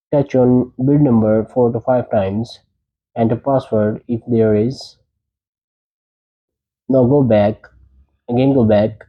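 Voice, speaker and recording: Dark-voiced man giving tech instructions. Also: medium pitch, poor audio. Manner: short plain imperative instructions. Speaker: tech tutorial presenter. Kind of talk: step-by-step instructional narration.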